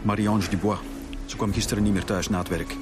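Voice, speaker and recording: A man's voice: on the low side, steady tone, brisk, lightly acted. A man with a Flemish accent playing an authoritative, formal police director in a naturalistic radio drama. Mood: commanding. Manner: formal, clipped, commanding. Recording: music behind the dialogue.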